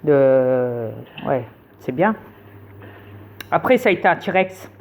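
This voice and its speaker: Woman, lowish voice, insistent, lecturing, faint background hiss. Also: lively intonation, slow. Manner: insistent, lecturing, many fillers. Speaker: conspiracy video host. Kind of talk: casual rambling monologue.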